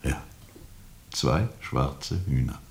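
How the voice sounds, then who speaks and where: A man playing a brooding, cynical ship captain in a German radio play, speaking sardonically and deliberately with weighty pauses. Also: a bass voice, creaky, slight background noise, exaggerated voice. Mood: weary, ironic.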